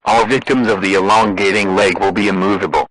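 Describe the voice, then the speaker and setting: Male announcer, lowish pitch, impersonal scripted emergency bulletin read, very dry recording with no room sound. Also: steady tone, a little fast, a bit bright, no echo, poor audio. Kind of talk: scripted emergency broadcast narration.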